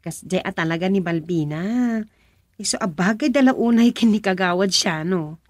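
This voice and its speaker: A woman's voice: fairly low pitch, normal speed, no room sound, clean studio sound, close to an everyday voice. A woman playing an opinionated, chatty village woman who lectures insistently, in a rural radio comedy-drama. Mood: chatty, curious. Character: opinionated village woman gossiping and moralizing about marriage.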